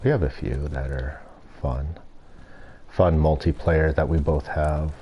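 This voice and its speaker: A young man streaming a game and chatting casually, with a stuffy nose and throat and a rambling, relaxed way of talking. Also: rumbling low, a little slow, highs cut off, a little hiss or hum. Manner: relaxed, rambling, self-deprecating. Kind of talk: casual gameplay commentary. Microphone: desk mic.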